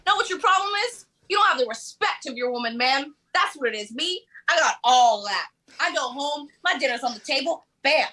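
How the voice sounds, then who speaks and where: A woman playing a brash, boastful, streetwise male carjacker in a comic table read, loud, swaggering and profane. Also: high-pitched, lively intonation, fairly quick, hardly any pauses. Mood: animated, boastful.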